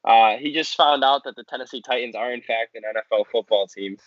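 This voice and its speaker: A man's voice: medium pitch, brisk, dry recording. Man talking sports, dry dull recording. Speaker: sports podcast co-host. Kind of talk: casual sports commentary. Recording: dry, slightly dull lo-fi audio.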